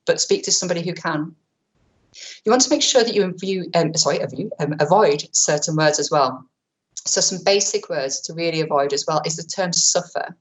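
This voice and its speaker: A woman presenting an online training webinar, talking quickly in a chatty way with many fillers and self-corrections, into a webcam mic. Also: sits low, poor audio. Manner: says she has a powerful voice. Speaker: webinar presenter on vulnerable clients.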